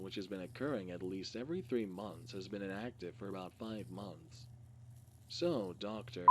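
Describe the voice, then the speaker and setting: Low-voiced man narrating over noisy hum. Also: pushed and bright. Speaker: reader. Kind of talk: scripted narration of a fictional case file. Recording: clearly noisy recording with hum.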